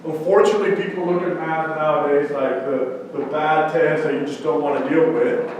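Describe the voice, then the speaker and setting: A man from central Mexico whose first language is Spanish, giving a lively startup pitch and quizzing the audience. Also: normal speed, recorded from a distance, muffled recording, background noise. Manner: conversational, quizzes the audience with questions. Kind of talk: energetic startup pitch talk. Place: event room with audience. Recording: echoey room, speaker away from mic.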